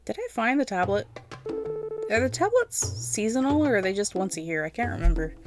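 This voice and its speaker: A woman's voice: mid-range voice, very animated, brisk, music in the background. A woman giving casual, rambling gameplay commentary, chatty and self-deprecating, talking to the game's characters as she plays. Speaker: gaming video host.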